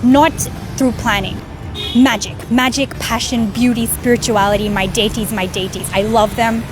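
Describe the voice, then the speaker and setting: A young woman, raised in Australia and born in India, telling her spiritual story in an earnest, animated vlog, filmed outdoors on a street. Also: a little high, normal speed. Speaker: vlogger. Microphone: camera filming herself. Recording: music clearly under the voice. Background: music.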